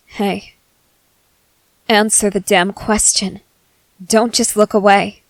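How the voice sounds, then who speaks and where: A woman playing a guarded young female soldier, blunt but softening, in halting roleplay ASMR. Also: mid-range voice, fairly even intonation, no echo. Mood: stern, suspicious. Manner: halting, hesitant, earnest delivery.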